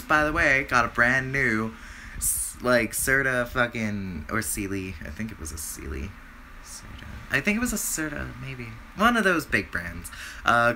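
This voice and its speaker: A man with a bright, raspy voice, just getting over the flu, rambling through a casual update to his viewers. He keeps restarting his sentences and dropping in fillers. A faint hiss of noise sits behind him. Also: mid-range voice. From Canada. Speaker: vlogger.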